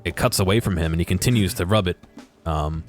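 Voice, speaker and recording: A man giving casual, unscripted commentary over game footage in a halting, explanatory way, correcting himself as he goes. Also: low voice, faint music behind. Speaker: video creator narrating game footage.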